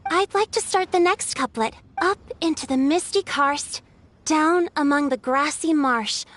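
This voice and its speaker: A woman voicing a polite, earnest young maiden in a video game, with a gentle manner. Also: high voice, measured pace.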